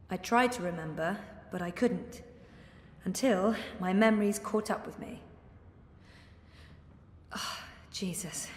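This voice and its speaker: Weary young game heroine, understated and hesitant. Lowish register, long reverb around the voice. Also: female voice. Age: young adult. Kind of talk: video game character acting. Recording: echoey hall, faint background noise.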